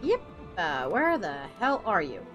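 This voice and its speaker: A woman's voice: mid-range voice, wildly swooping pitch, measured pace, dull audio, music in the background. A woman streaming a video game, ranting sarcastically and swearing at enemies as she plays. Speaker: gaming streamer. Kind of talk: ranting let's-play commentary.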